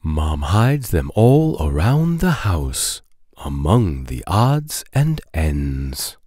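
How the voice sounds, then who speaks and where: Male narrator for children's rhymes. Playful and expressive, big pitch swings, measured pace, very dry sound. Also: no echo. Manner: playful, deliberate, sing-song delivery of rhyming verse. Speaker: children's book read-aloud narrator. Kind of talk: expressive children's poetry storybook reading.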